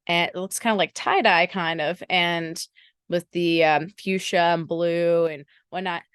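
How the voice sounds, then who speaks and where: Chatty young woman podcast co-host, smooth low voice. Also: steady tone, measured pace, no room sound, clean studio sound. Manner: chatty and enthusiastic, playful teasing. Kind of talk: casual sports podcast chat.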